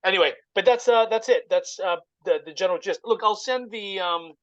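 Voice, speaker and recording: A man giving an informal, halting explanation as a guest presenter in casual conversation. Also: high-pitched, fairly bright tone, no room sound, dull audio. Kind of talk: informal project pitch, casual chat.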